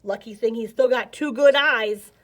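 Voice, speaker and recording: A woman's voice: fairly high pitch, normal speed, close to an everyday voice. Woman as a gabby old storybook python. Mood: knowing. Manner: gabby, nostalgic. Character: old green pythons, chatty, boastful, fond of reminiscing.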